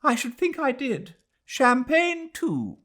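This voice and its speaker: A man's voice: extremely high pitch, wildly swooping pitch, slow, bright, forceful tone, voice pushed up, full character voice. A man doing a haughty, imperious older memsahib. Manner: imperious, dismissive.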